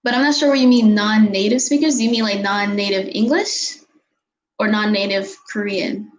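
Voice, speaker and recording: A woman's voice: medium pitch, fairly even intonation, normal speed, low-quality recording. Girl in her 20s just chatting on a webcam in her bedroom, lots of likes and ums, giggly, faint hum behind. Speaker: vlogger answering viewer questions. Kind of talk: casual chat. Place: bedroom, indoors. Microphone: webcam at desk distance.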